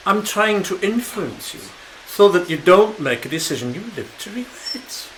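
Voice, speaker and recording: An actor playing a pushy, threatening television executive in a radio play, exasperated and emphatic. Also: male voice, high-pitched, big pitch swings, moderate pace, a bit bright, a little hiss or hum, poor audio. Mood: pressuring. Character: pushy, menacing male television sports executive.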